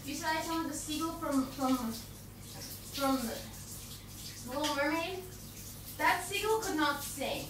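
A woman doing an intimate girlfriend roleplay in casual one-sided chat, playful and teasing, often halting and repeating words. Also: high voice, distant mic. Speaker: girlfriend roleplay audio performer. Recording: very echoey bathroom-like sound, off-mic, background water noise.

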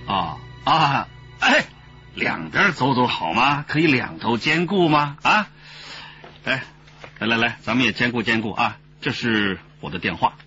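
An actor playing a jovial, smooth-talking boss who haggles effusively in a Chinese radio drama, peppered with interjections. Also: male voice, mid-range voice, big pitch swings, unhurried, pushed and bright. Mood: brisk, persuasive. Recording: dull radio drama audio with background music.

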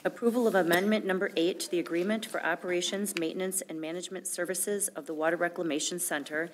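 A woman's voice: fairly low pitch, steady tone, quick pace, slight background noise. A middle-aged woman reading official documents aloud as a clerk, formal and matter-of-fact. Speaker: village clerk. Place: indoor board meeting room, at a desk.

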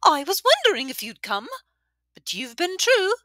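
Woman doing a shrill, teasing old innkeeper. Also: huge pitch swings, moderate pace, no echo, studio quality, heavily acted. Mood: boisterous. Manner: loud, teasing, laughing. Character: toothless, boisterous middle-aged woman innkeeper.

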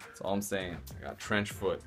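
A man in his thirties with a fairly low voice leads a casual house tour. He talks in sardonic, mock-showy slang and swears freely. He is picked up by a close handheld camera in small rooms, with some music present. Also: moderate pace, fairly bright tone. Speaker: vlogger giving a house tour.

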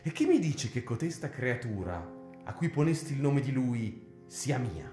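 Male actor as a scornful jealous husband, lively swinging pitch, echoey hall, radio play. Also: normal speed, soft background music, natural voice. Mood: accusing. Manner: scornful, accusing. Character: jealous cruel young husband. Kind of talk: radio drama acting.

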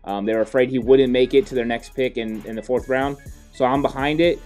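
A man in his thirties, a podcast co-host, explaining football draft moves in a steady, casual way with frequent fillers. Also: mid-range voice, fairly quick, soft background music. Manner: steady, explanatory, many "you know" fillers. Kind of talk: casual sports analysis chat. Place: home studio, indoors. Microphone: studio mic on boom arm.

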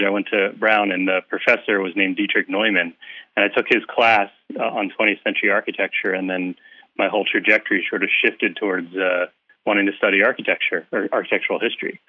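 A guest author from the Philadelphia area chatting in an interview, hesitant with lots of uhs but friendly. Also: male voice, on the low side, no room sound. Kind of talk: casual interview chat. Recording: tinny phone-like line, dry.

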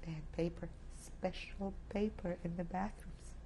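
A Polish-born woman in her sixties, a native Polish speaker, giving reflective oral history testimony in a halting way, with many restarts. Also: lowish voice, fairly even intonation, mellow, a little hiss or hum. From Poland. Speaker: interviewee. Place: indoor studio set with plants.

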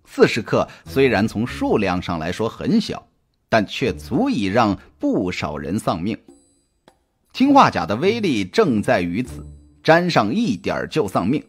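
A man narrates a suspense novel with deliberate, dramatic expression. His voice is husky and sweeps widely in pitch. Soft music runs behind the storytelling. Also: huge pitch swings. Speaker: audiobook narrator. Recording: music under the voice. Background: background music.

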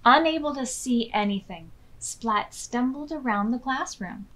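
An American woman in her thirties hosting storytime, reading aloud expressively and clearly to young children. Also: fairly high pitch, lively intonation, measured pace, fairly bright tone. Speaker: children's storytime host at a public library. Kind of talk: read-aloud storytelling for preschoolers. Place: small furnished room indoors.

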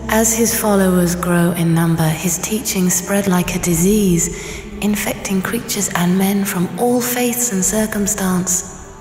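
A woman playing the solemn, gentle apparition of a young woman in a video game, with ghostly reverb. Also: mid-range voice, unhurried, soft background music. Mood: grave. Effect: ghostly echoing reverb. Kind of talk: dramatic game cutscene acting. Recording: echoing long reverb.

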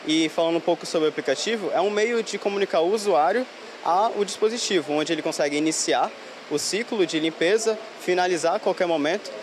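A teenage student presenting his project in a rehearsed, explanatory way into a handheld mic in a workshop. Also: high voice. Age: teens. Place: school workshop. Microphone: handheld reporter mic. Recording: noisy workshop background.

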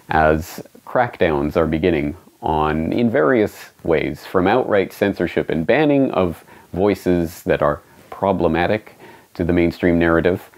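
A man in his forties from Canada, hosting his own online show with a scripted, emphatic monologue and lively hand gestures. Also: low-pitched. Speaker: independent online journalist and host. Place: small home studio indoors, also outdoors on the street. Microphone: studio microphone on a stand beside the camera.

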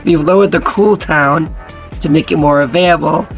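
Hoarse young guy voicing gameplay commentary, slightly high voice, gravelly fry, faint music behind. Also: normal speed. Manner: casual, reads aloud with stumbles. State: hoarse, partly lost voice. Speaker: gaming channel host. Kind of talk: casual gameplay commentary reading patch notes.

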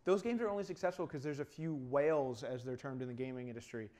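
Fast-talking opinionated young man. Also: medium pitch, darkish tone. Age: 20s. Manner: explanatory, opinionated. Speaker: talk show co-host. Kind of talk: animated video game discussion. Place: indoor TV studio.

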